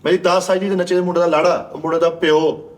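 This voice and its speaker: A man's voice: on the high side, level tone, fast talker. A man with a Pakistani Punjabi accent throwing in casual quips as a comedy sidekick.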